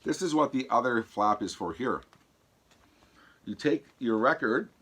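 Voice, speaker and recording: A man hosting a casual vlog demonstration, talking haltingly with a voice that comes and goes from a throat infection. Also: medium pitch, animated, fairly bright tone, slightly rough. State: sore throat from an infection, voice going in and out. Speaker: vlog host. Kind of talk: casual product demonstration to camera.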